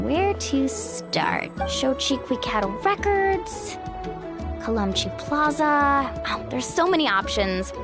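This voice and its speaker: A woman's voice: high voice, full character voice. An actress playing a sunny, easygoing young woman, a breezy and friendly guide in a video game. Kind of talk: video game character acting.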